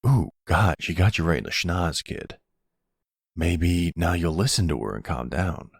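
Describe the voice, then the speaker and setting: Casting: male actor for middle-aged silver fox mob boss, very low husky voice, wry and commanding, audio roleplay. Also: expressive, measured pace, no room sound, clean studio sound, exaggerated voice. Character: suave middle-aged mafia boss, menacing yet tender boyfriend. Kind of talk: ASMR boyfriend roleplay acting.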